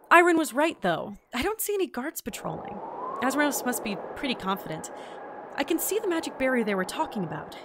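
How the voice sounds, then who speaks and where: A woman playing an earnest, quick-witted traveler on a mission in a fantasy audio drama. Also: fairly high pitch, noisy background. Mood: nervous. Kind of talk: fantasy audio drama acting with log narration.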